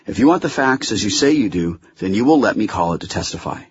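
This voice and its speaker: A man voicing a defiant, quick-witted man arguing his case in an audiobook, insistent, articulate and wry. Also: fairly low pitch, animated, husky, lo-fi sound, pitched above the performer's own voice.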